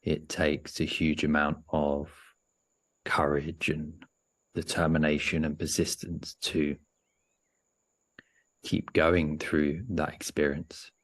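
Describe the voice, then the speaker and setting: A man with an extremely low voice guides a meditation. His intonation stays very level and even. He speaks gently and without hurry, leaving frequent pauses partway through his sentences. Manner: gentle, unhurried, pausing often mid-sentence.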